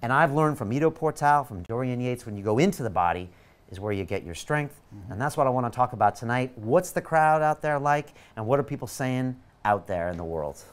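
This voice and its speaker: Energetic man leading a visualization. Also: mid-range voice, fairly quick. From California. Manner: energetic, enthusiastic, coaxing. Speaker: host of an online masterclass. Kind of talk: motivational talk with guided visualization.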